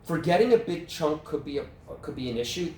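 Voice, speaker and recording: A man with a New York accent runs a speaking workshop, relaxed and conversational, often turning questions to his listeners. He sounds some way from the mic, in an echoey meeting room with faint background noise. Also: a little high, a little fast, far from the mic. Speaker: workshop presenter.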